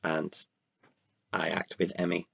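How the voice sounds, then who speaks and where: A man, an actor, talking reflectively about himself in an interview, haltingly and with pauses mid-sentence. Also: deep voice, little pitch movement, gravelly, a bit bright, breathy edge. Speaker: actor and guest writer. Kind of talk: reflective personal interview talk.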